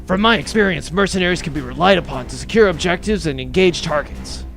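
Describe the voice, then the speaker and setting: An actor playing a serious, no-nonsense veteran commander briefing troops in a comedic sci-fi audio drama, clipped and strategic. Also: male voice, a little high, expressive, fast, gravelly, fairly bright tone, faint music behind. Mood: brisk, serious.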